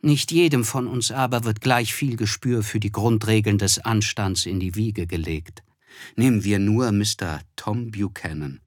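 An actor playing a reflective, principled man who narrates a literary radio drama in a measured, thoughtful way. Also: lowish voice. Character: Nick Carraway, reflective, reserved, principled male narrator.

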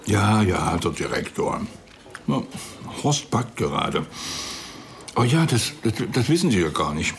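A man's voice: fairly low pitch, big pitch swings, measured pace, long pauses. An actor playing a smug, unctuous senior policeman in a radio drama, jovial and condescending. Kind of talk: naturalistic German radio crime drama acting.

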